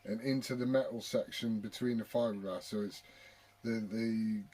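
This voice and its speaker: A man's voice: lowish voice, steady tone, normal speed, mellow, slightly rough, rough recording. A British man chatting casually as a CB radio operator, blokey and sweary in his talk. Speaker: CB radio operator and video maker.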